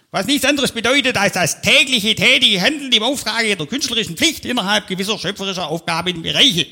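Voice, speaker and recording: A man's voice: high voice, pushed and bright, breathy edge, exaggerated voice. A man playing a pompous, self-important speaker who gives a long-winded stage speech. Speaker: comedian on stage. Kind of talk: parody of a pompous official speech. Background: audience laughter.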